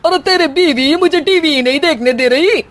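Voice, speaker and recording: A woman's voice: high voice, moderate pace, pitched above the performer's own voice, close to an everyday voice. A woman voicing a bossy middle-aged mother-in-law in a family cartoon, scolding and sarcastic with exclamations. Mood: annoyed.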